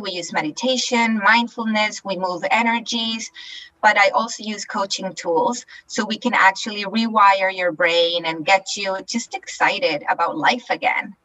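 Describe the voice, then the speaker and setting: A woman's voice: mid-range voice, sharp tone, rough recording. A woman from Chile who is a life coach, telling her story as a guest in a confident, upbeat way. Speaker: life coach and Reiki master. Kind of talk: podcast interview guest.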